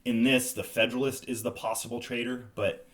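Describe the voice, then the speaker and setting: A man's voice: medium pitch, little pitch movement, fairly quick, a bit bright. A man hosting a podcast, casually talking through board game reviews. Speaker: podcast host.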